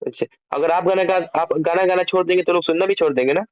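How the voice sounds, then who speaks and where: A man's voice: high-pitched, fast talker. Challenging man on a tinny phone line. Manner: challenging, scolding, repeats questions. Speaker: journalist interviewer. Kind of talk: confrontational phone interview. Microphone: telephone. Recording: tinny radio-like phone-call recording.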